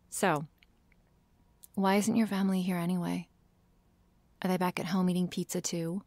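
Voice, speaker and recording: Casting: woman as defensive, secretly shy vampire girl for ASMR roleplay; lowish, unhurried delivery, sighs and near-whispers. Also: little pitch movement, a little mellow, no room sound. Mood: dry, reluctant. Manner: hesitant, sighing, defensive, often drops to a whisper. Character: tsundere vampire girl, prickly, sarcastic, secretly shy and sweet. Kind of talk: ASMR roleplay addressed to the listener.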